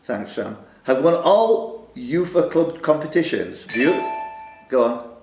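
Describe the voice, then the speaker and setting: A man's voice: medium pitch, lively intonation, measured pace, darkish tone, soft background music. A man hosting a live pub quiz, with jokey, punning asides. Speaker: quiz master. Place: social club room. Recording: roomy club hall with some noise.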